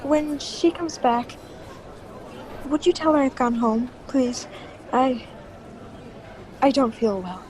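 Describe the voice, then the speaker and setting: A woman's voice: high voice, slow pace, pauses often, a little mellow, a faint crowd, slight background noise, low-quality recording. A woman playing a nervous, polite, evasive character who hides her dread in an old-time radio drama. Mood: shaken, nervous. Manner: hesitant, uneasy, polite.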